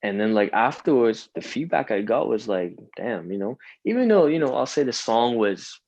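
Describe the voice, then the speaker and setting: A man's voice: mid-range voice, very animated, normal speed, a little mellow, no room sound, dull audio. Rambling young man, dry sound. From Iran. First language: Farsi. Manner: rambling, many fillers and restarts. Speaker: rapper guest. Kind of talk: casual interview chat.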